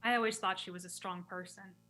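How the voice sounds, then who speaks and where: A woman's voice: medium pitch, steady tone, fast, bright, forceful tone, faint music behind, close to an everyday voice. A woman acting a caring but firm young woman in a radio play script reading. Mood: tender, reflective.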